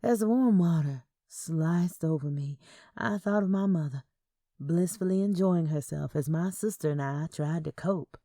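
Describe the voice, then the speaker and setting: Lowish-voiced woman narrating fiction, dry close sound. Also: measured pace, warm tone, no room sound. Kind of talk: first-person dramatic story narration.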